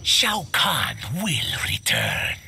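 An actor playing a greedy, menacing otherworldly tribute collector with a gravelly hiss and a sly, formal, theatrical manner in a fighting game. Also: male voice, on the high side, wildly swooping pitch, extremely slow, bright, forceful tone, exaggerated voice. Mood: sly, boastful. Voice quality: gravelly, hissing. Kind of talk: video game fight banter acting.

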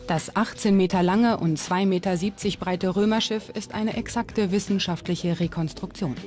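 A woman narrates a documentary from a prepared script. Her voice sits at a middle pitch, with music playing behind it. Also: normal speed, darkish tone. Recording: music under the voice. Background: background music.

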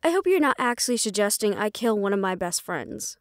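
A woman's voice: medium pitch, big pitch swings, brisk, no room sound, studio quality, close to an everyday voice. A woman playing a defiant, loyal young banshee daughter in an audio roleplay, sarcastic and casual.

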